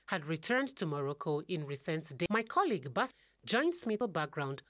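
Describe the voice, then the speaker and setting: A woman's voice: sits low, very animated, moderate pace, a bit bright, no room sound, clean studio sound. A woman presenting a news segment, reading a scripted intro and then questioning a correspondent. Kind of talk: news anchor interview.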